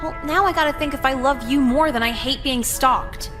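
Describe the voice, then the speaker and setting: A woman voicing a jaded, sarcastic teenage schoolgirl in a visual novel game, with a deadpan, foul-mouthed delivery. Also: sits high, moderate pace, over music, lightly acted.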